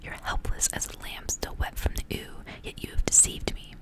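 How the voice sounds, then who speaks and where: A woman's voice: moderate pace, close to an everyday voice. Woman reading as possessive older female guardian, bitter, scolding, all in whisper, ASMR storybook. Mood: accusing.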